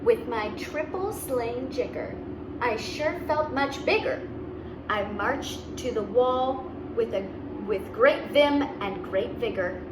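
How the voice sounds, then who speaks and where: A woman reads a picture book to children in a fairly high voice. Her delivery is expressive and very unhurried, with simple explanations. She sounds a little distant from the microphone. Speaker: story reader and host. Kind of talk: children's read-aloud storytelling with asides. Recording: roomy, distant mic, clear background noise.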